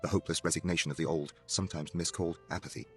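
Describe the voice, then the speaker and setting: Casting: male storyteller, very low, brisk, dramatic, with music clearly underneath. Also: fairly even intonation. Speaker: narrator. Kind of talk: dramatic scripted horror narration.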